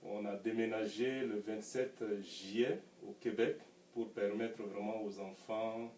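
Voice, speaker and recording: A middle-aged man with a francophone African accent speaks in a fairly low voice. He answers an interviewer in a measured way, pausing to find words. The sound is distant and echoey, with faint noise behind. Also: steady tone, darkish tone. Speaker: interview guest. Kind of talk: recounting personal experience in an interview.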